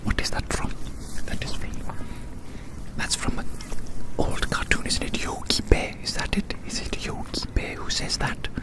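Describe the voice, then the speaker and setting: A person in their thirties whispering gently and unhurriedly in an ASMR style, rambling and repeating phrases over and over. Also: normal speed. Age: 30s. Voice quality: soft unvoiced whisper. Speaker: ASMR host. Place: outdoors.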